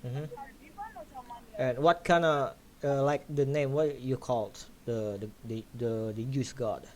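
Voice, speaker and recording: A man's voice: medium pitch, steady tone, unhurried, a little hiss or hum, poor audio. A man from Indonesia with an Indonesian accent, pressing strangers with repeated questions on a casual video chat. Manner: persistent, repeats his questions. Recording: loud background music.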